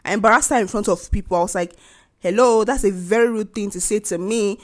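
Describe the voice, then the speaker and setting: A young Nigerian woman hosts her own podcast and vents about her week. She talks with indignant, animated energy and acts out the people she argues with. Her voice sits at an ordinary middle pitch for a woman. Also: fairly quick. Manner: animated, indignant storytelling with mocking quoted voices. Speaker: podcast host. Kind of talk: casual venting monologue.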